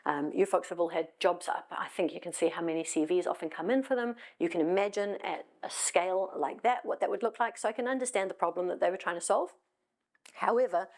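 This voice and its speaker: A woman's voice: mid-range voice, darkish tone. A middle-aged woman from South Africa with a South African accent, giving a conversational talk on stage with wry asides and frequent ums. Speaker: conference speaker. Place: stage in a hall.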